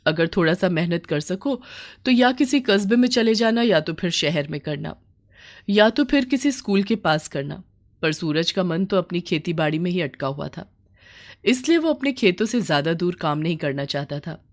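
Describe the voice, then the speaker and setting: A woman's voice: fairly low pitch, lively intonation, normal speed. A woman storyteller reading a scripted moral bedtime story.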